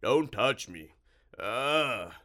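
A man voicing a huge slimy storybook monster, slow-witted and easily spooked, with a drawn-out, ponderous delivery. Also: mid-range voice, expressive, bright, forceful tone, voice pushed up, full character voice. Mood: horrified.

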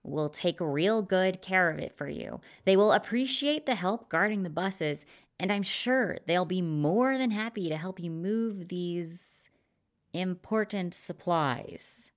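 A woman plays a calm, reassuring alien-looking stranger in a storybook, speaking smoothly and kindly. The voice is low and mellow, lifted a little brighter for the part. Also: takes it slowly.

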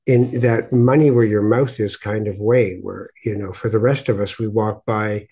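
A man hosts a podcast in a mellow, slightly rough-edged voice. He speaks conversationally and reflectively, repeating words as he gathers his thoughts. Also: lowish voice, steady tone, normal speed. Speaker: podcast host. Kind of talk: conversational interview with reflective introduction.

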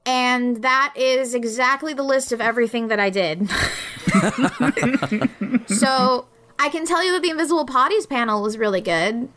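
A woman's voice: on the high side, a bit bright, smooth. A woman chatting casually as a guest on a podcast. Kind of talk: casual chat.

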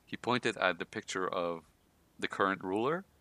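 A man's voice: sits low, moderate pace, pushed and bright, dry recording. A man from Iran hosting a podcast, telling his own life stories in a casual, laughing way with many fillers. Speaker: podcast host.

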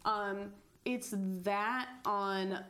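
A podcast host chatting casually and easily with a guest, asking questions and laughing often. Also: female voice, mid-range voice, fairly even intonation, extremely slow, bright, forceful tone. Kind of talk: casual conversation.

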